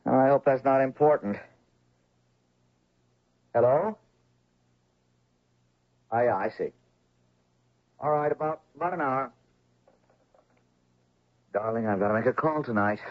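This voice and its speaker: An actor playing an earnest, mild-mannered doctor and dutiful son in an old-time radio drama. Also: male voice, medium pitch, a little slow, long pauses, natural voice. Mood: dutiful, sober. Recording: dull lo-fi old radio recording.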